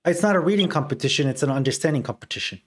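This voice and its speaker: A man's voice: fairly high pitch, animated, racing. Insistent man pressing short questions. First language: Arabic. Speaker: debater defending his view. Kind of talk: heated street debate.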